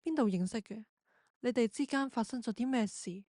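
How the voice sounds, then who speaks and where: A woman's voice: on the high side, a little fast, warm tone, no room sound. Woman narrator, dry close sound. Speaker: drama narrator. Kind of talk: scripted story narration.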